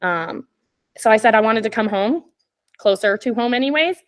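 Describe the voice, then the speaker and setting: A woman in her twenties from Canada, a podcast guest telling stories in casual chat, laughing often and filling pauses with "like" and "um". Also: on the high side, expressive, clear, resonant tone. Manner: chatty, laughs often, many "like" and "um" fillers.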